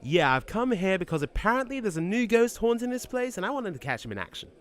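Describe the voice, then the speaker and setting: A man plays an excitable, cheeky ghost in an audio drama. The voice is high for a man and very animated. The delivery is informal and matey. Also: quick pace. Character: excitable, cheeky young male ghost friend.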